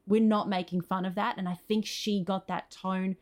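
A woman's voice: mid-range voice, flat delivery, moderate pace. An Australian woman speaking as an interview guest, earnest and effusive in a conversational way. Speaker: podcast guest, screenwriter.

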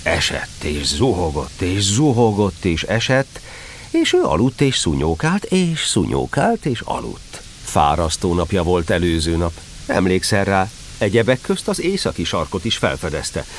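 A man's voice: sits low, very animated, slight background noise. A man narrating a children's story expressively, playfully drawing out phrases for effect.

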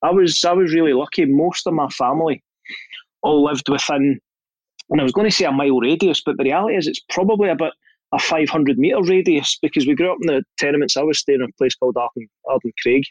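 A middle-aged Scottish man talks as a podcast guest with a voice a touch higher than most men's. He tells his stories with dry, wry humour. The recording is dry and close, with no room echo. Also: fast. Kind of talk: casual podcast chat.

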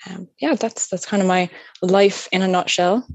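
A woman in her thirties from upstate New York with an American accent, telling her personal story as a podcast guest, thoughtful and trailing off softly. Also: on the low side, moderate pace, creaky, dry recording. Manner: thoughtful, many fillers, soft trailing endings.

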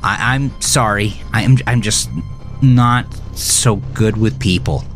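A man plays a nervous young man in an audio drama. He is hesitant and apologetic, stammering in a raised pitch over faint music. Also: slow, fairly bright tone.

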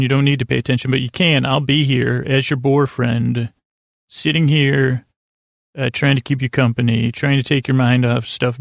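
Creaky-voiced man rambling in a dry recording. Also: medium pitch, dry recording. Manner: halting, stammering, circles back and interrupts himself; self-deprecating, digressive. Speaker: host of a sleep podcast. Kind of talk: rambling bedtime monologue.

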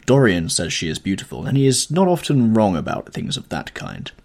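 A man voicing a languid, witty aristocratic gentleman, with a drawling manner, in a storybook reading. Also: on the low side, wildly swooping pitch, brisk, gravelly, exaggerated voice. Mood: amused.

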